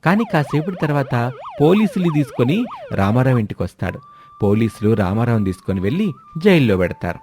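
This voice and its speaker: A man's voice: mid-range voice, sing-song, brisk, a little mellow, a little hiss or hum. A man narrating a scripted moral story as the storyteller. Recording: music behind the voice.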